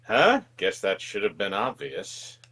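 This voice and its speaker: A man with a lowish voice and a slow, unhurried pace banters over a game. He reads his character's lines casually, with wry humour and some swearing. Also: huge pitch swings, bright, forceful tone. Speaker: co-host voicing the player character. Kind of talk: casual game banter and read-aloud dialogue.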